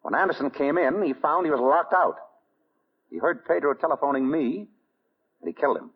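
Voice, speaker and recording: Muffled old-time radio sound carries an urbane gentleman sleuth. His voice is husky and swings easily in pitch as he questions with dry, wry control, in polished 1940s radio style. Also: lively intonation, fairly quick. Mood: probing, controlled. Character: suave, wry gentleman sleuth running a murder investigation. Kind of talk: 1940s radio drama acting.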